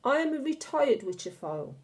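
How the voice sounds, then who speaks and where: A woman's voice: high-pitched, very animated, fairly quick, pitched above the performer's own voice. A woman voicing a knowing, assured old grandmother in a storybook, explaining with authority. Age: older. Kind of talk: storybook character reading.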